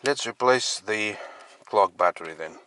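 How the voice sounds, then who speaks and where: A man giving a casual product demonstration as a collector, speaking in halting, piecemeal phrases. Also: fairly low pitch, background noise. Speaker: radio collector presenting his collection. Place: inside a parked car.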